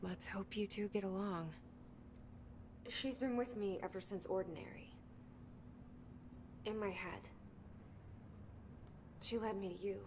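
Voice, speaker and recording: A guarded, determined young heroine from a video game, speaking in short, clipped lines. Her voice sits mid-range, with clear hiss and noise behind the game audio. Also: medium pitch, steady tone, slow, pauses often. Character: determined young woman hunting for her lost brother.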